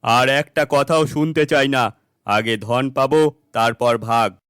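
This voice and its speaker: A man's voice: medium pitch, slow, fairly bright tone, clean tone, no room sound, clean studio sound. A man voicing a menacing, domineering bandit chief in a cartoon fairy tale, with curt, commanding threats. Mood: angry, commanding.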